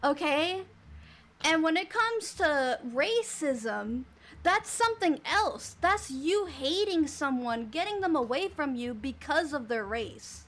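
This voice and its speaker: High-pitched woman from cuba, lecturing hard, fed up and emphatic. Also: expressive, slow, fairly bright tone. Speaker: online video creator in a played clip. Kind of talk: ranting commentary to camera.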